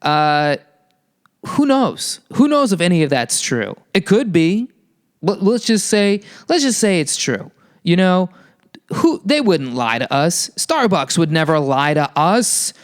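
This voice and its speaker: A man's voice: very animated, unhurried. Man hosting profane, rambling health talk. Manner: sarcastic, profane, full of fillers and restarts; puts on silly high voices for quoted people. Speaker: podcast host. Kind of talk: casual rambling monologue.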